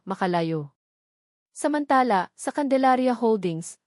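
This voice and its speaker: A woman storyteller giving a steady, measured scripted narration of a dramatic love story. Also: medium pitch, no room sound, clean studio sound.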